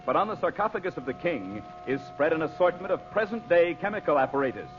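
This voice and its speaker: A man's voice: a little high, big pitch swings, quick pace. A man narrating an old-time radio serial as a dramatic announcer. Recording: dull old radio recording, loud music.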